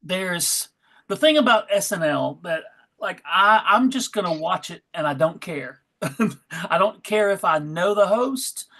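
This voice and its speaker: A woman's voice: fairly low pitch, a bit bright. A woman panelist at a fan convention, chatting casually in a wry, joking way. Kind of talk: casual chat.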